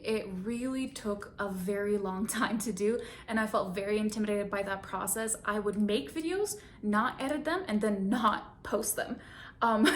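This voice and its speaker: Young woman vlogger from Bulgaria, fairly high voice, casual chatty Q and A with easy laughs, faint rumble underneath. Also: a bit bright. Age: 20s. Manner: chatty, laughs easily, self-conscious asides. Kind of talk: casual Q and A vlog.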